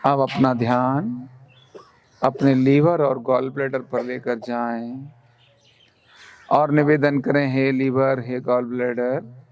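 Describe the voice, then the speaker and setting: A man leading a guided relaxation meditation in a calm, soothing, instructional manner. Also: mid-range voice, takes it slowly, pauses often. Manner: calm, soothing, instructional, with gentle repeated commands.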